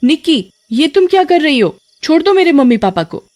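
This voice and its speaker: A woman's voice: sits high, big pitch swings, brisk, darkish tone, pitched above the performer's own voice. A woman voicing an earnest, brave young daughter, childlike and pleading, in a horror story. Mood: alarmed, demanding. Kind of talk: dramatized horror story acting.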